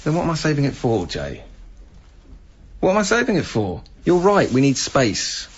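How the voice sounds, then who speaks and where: An actor playing an earnest, apologetic younger official in a radio drama, hesitant and stammering. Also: male voice, fairly high pitch, wildly swooping pitch, moderate pace, husky, heavily acted. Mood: defensive, apologetic. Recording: muffled radio drama, faint music.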